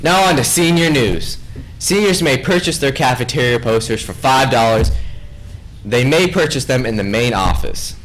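Lively young man reading school news. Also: medium pitch, normal speed, slight background noise, low-quality recording. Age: young adult. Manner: playful, jokey, animated with big hand gestures. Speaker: student news anchor. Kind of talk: school announcements broadcast. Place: small school studio, desk before a red curtain.

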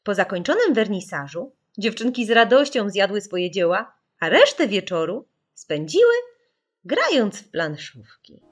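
A woman reading a children's story in an expressive, theatrical storytelling manner. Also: mid-range voice, measured pace. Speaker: audiobook narrator.

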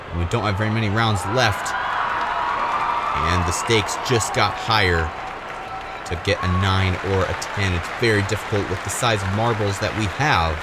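Man calling play-by-play over loud crowd. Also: on the low side. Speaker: sports commentator for marble races. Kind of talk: play-by-play commentary. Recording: loud crowd noise behind commentary. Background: loud crowd and ambient noise.

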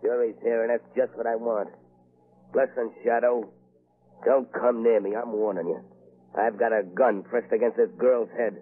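A male actor plays a menacing gang boss from a 1930s radio crime drama. His voice is rough and husky, full of bullying threats. The old recording is narrow and muffled. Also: medium pitch, steady tone, moderate pace, natural voice. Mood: commanding, threatening. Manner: snarling, threatening tough talk.

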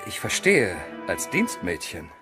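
A man's voice: lowish voice, wildly swooping pitch, a little slow, over music. An actor playing a cheeky, teasing male sidekick in an audio drama. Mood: wry.

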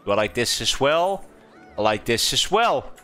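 Lively bright-voiced young man. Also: mid-range voice. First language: Dutch. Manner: swears freely, quick amused reactions, frequent laughter. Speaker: streamer. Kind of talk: casual gameplay commentary.

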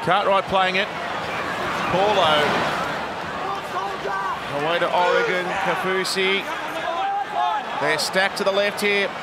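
Brief: male play-by-play caller, high voice, roaring stadium crowd behind. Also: heavy background noise. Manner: excitable, quick calls of names. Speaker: play-by-play commentator. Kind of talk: live sports commentary. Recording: broadcast mix with loud stadium crowd.

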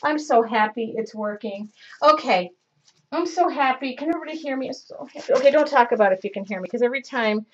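A woman's voice: on the high side, fast. A woman in her forties hosting a live craft demo from her home studio, rambling and self-interrupting as she works. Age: 40s. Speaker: craft show host. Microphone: webcam in front of her. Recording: dull lo-fi webcam stream audio.

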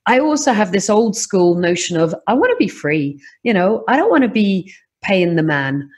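A middle-aged woman from Scotland with an English accent, telling a podcast host about her property investing in a lively, animated way. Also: medium pitch, normal speed, husky. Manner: lively, animated storytelling with laughs. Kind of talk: podcast interview.

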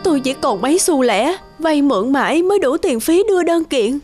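A poor village woman in a cartoon, with a high voice. She pleads humbly and deferentially, with music clearly behind her. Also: lively intonation, brisk, a little mellow. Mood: pleading. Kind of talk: cartoon character acting.

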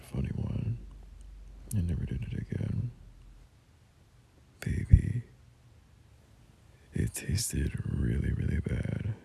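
A young man playing a caring, soothing boyfriend in an ASMR roleplay, murmuring gently and hesitantly in a breathy, gravelly half-whisper. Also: very deep voice, a little hiss or hum, exaggerated voice. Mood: rambling, amused. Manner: gentle, hesitant, unhurried reassurance.

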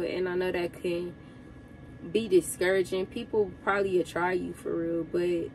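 A young woman truck driver giving casual advice to her viewers, sounding congested from a cold, chatty and relaxed. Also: fairly low pitch, slight background noise, rough recording.

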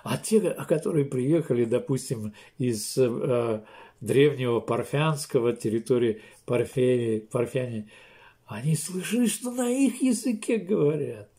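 Older guy pastor, slow emphatic sermon, seated in a small room close to the cam. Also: mid-range voice, lively intonation, mellow. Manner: slow, emphatic preaching with many pauses and restarts.

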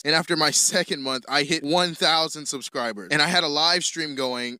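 Young American male storyteller, mid-pitched, dry sound, joking and informal scripted narration. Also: sharp tone, no echo. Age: young adult. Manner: self-deprecating, slangy, joking. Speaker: storyteller, YouTuber.

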